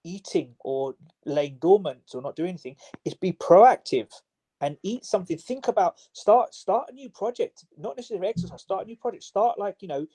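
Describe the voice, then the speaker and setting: A man's voice: high voice, animated, fairly quick, mellow, breathy edge, poor audio. A man, a personal trainer, answering interview questions in a chatty way, with many fillers and restarts. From Southwest London.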